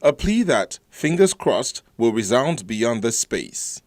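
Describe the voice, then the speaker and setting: A man's voice: medium pitch, big pitch swings, slow pace, darkish tone, a little hiss or hum. A man reading scripted television arts news narration as a reporter.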